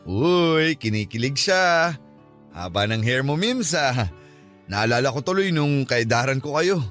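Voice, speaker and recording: An actor playing a teasing, playful middle-aged boss in a radio drama, animated in manner. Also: male voice, mid-range voice, measured pace, soft background music. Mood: teasing, amused. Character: teasing, playful older male boss matchmaking his young staff. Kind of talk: Tagalog radio drama acting.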